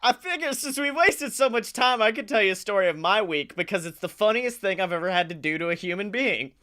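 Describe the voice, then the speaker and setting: American guy podcast host, bright punchy voice, rambling funny stories, swears and chuckles. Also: high-pitched, fairly quick. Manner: animated, swearing, often chuckling while talking. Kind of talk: casual comic storytelling.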